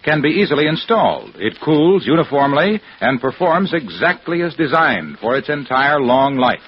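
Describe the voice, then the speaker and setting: A man delivering a polished, scripted 1950s radio sales pitch as a commercial announcer. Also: very animated, normal speed. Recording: muffled old radio, faint noise.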